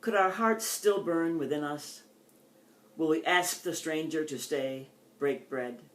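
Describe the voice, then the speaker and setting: An older woman with an American accent, a poet reading her own poems in deliberate phrases and introducing them informally. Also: low-pitched, poor audio. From United States.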